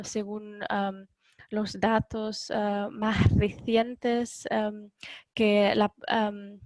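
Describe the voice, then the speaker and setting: A woman giving a webinar presentation in Spanish, which is not her first language, pausing often with fillers and correcting herself. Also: mid-range voice, no room sound. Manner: hesitant, many ums and self-corrections.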